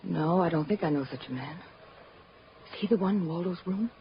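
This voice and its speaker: A woman's voice: on the low side, fairly quick, close to an everyday voice. An anxious, guarded woman voiced by an actress, speaking in short questioning lines. It is 1940s radio acting on a muffled recording with faint noise.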